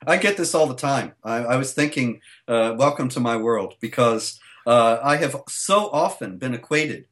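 A man with a mid-range voice speaks as an interview guest. He explains his points steadily and at length, pausing often on small fillers. His delivery stays even and matter-of-fact. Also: medium pitch. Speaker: guest, author. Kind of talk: interview answers.

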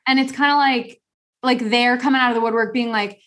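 A woman's voice: a little high, steady tone, fairly quick, fairly bright tone. A young adult woman hosting a podcast, talking earnestly in casual conversation with lots of fillers and restarts. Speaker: podcast host.

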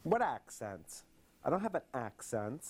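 A man's voice: sing-song, unhurried, husky, exaggerated voice. A man doing a whiny Long Island girl. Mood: exasperated. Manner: whiny, exasperated, shrill outbursts. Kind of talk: comedy sketch clip.

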